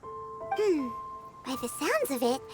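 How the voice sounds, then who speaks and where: A woman voicing a tiny, excitable fairy girl companion in a video game, with a chirpy, childlike and inquisitive manner. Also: sits high, slow pace, music in the background, exaggerated voice. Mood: puzzled. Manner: childlike, chirpy, refers to self in third person. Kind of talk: animated video game voice acting.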